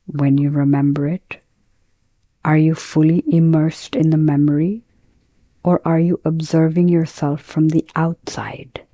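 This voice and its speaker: A woman teaching guided meditation, speaking in a calm, gentle, measured way with pauses between phrases. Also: deep voice, dry recording.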